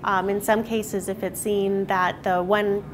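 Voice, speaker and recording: A woman's voice: medium pitch, little pitch movement, a little slow. A woman explaining technical details unscripted in an interview as an official. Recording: clear background noise.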